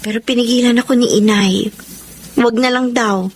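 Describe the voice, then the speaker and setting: A woman's voice: fairly high pitch, fairly even intonation, normal speed, slight background noise, lightly acted. A woman playing a devoted, respectful grown daughter in a radio drama. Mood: confiding.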